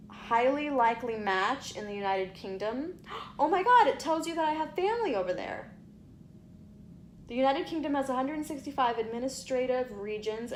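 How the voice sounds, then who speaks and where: A woman's voice: medium pitch, slight background noise. Chatty young woman reading aloud. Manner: chatty, reads aloud with asides, stumbles over place names. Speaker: vlogger. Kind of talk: casual vlog reading out results.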